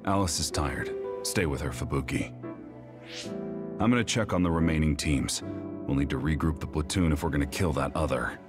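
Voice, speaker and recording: A very deep-voiced, gruff soldier from a video game. He talks curtly and brushes things off, guarded yet protective. Music plays clearly behind him. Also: normal speed. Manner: curt, dismissive.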